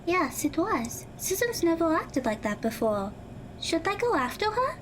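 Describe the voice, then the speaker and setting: Very high, earnest schoolgirl played by a woman. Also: big pitch swings, unhurried, a bit bright, a little hiss or hum, full character voice. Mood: concerned. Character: earnest, studious high school girl, encouraging tutor. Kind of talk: audio drama acting.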